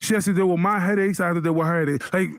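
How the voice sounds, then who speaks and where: A man in his late thirties with a slightly higher voice argues his point in a heated group debate. He talks insistently and repeats himself when pushed back on. His voice sits close and dry on the mic, with no room sound. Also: steady tone, rapid-fire, no echo. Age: 30s. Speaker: podcast co-host.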